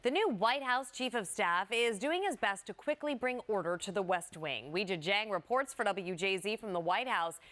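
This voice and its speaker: A woman's voice: mid-range voice, expressive, normal speed, few pauses, a bit bright. A woman anchoring a TV newscast, reading from a script. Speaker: news anchor.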